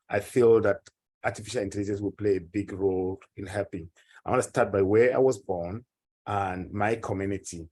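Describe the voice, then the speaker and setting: A man from Cameroon giving a conference presentation, earnest and growing animated as he tells of his home village. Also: on the low side. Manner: earnest, grows animated telling personal stories. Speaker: consortium director and professor.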